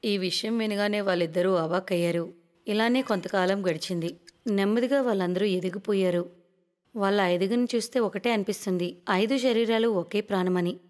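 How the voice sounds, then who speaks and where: A woman giving scripted narration of a cartoon moral story. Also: mid-range voice. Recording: long reverberant echo.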